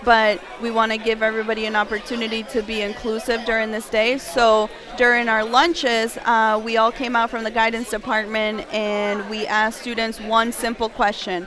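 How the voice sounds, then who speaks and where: A woman's voice: fairly high pitch, flat delivery, moderate pace, hardly any pauses. A woman from Massachusetts chatting casually and enthusiastically as an interview guest. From Massachusetts, United States. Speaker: interview guest, school guidance staff. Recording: busy crowd chatter behind.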